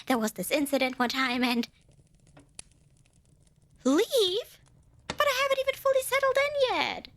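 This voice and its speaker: A woman's voice: very high-pitched, big pitch swings, a little slow, long pauses, a bit bright, slight background noise, heavily acted. A woman playing a cute, eager and nervous little female demon in an ASMR roleplay, bubbly and over-polite with hesitant stammers. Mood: pleading, flustered. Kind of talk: ASMR roleplay acting addressed to listener.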